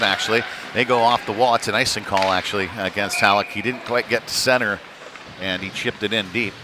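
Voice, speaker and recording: A man giving casual, unpolished live play-by-play commentary on a youth hockey game, his delivery rising with the action. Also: lowish voice. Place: cold ice rink during a live stream. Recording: clear venue noise behind the voice.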